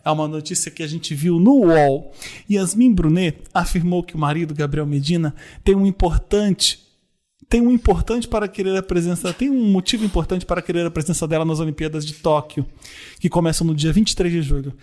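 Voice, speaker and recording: Man, high voice, chatty podcast host, reads the news. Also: fairly quick, darkish tone. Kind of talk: casual podcast chat.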